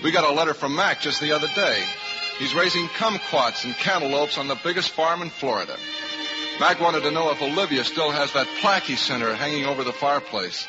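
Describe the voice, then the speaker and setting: Man as earnest young ballplayer, slightly high voice, vintage radio drama, dull band-limited sound under music. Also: lightly acted. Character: earnest, self-doubting young baseball player, later proud narrator. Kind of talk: 1950s radio drama acting. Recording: muffled old radio broadcast, loud music.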